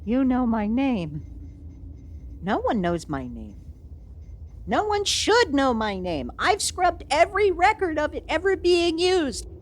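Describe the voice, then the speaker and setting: A woman's voice: high voice, big pitch swings, takes it slowly, full character voice. A woman playing a haughty, easily affronted evil sorceress in an ASMR fantasy roleplay, imperious and melodramatic. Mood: exasperated, suspicious. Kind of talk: ASMR audio roleplay acting.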